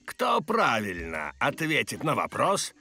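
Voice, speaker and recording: Theatrical, swooping cartoon reptile judge. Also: male voice, medium pitch, huge pitch swings, slow, gravelly, bright, forceful tone, heavily acted. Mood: announcing. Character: pompous gorgonops contest judge, male. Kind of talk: Russian cartoon dubbing.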